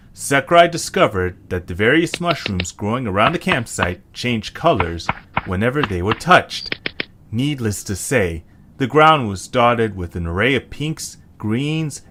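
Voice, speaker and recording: Guy narrating a story, lowish voice, really animated delivery. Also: unhurried, noisy background.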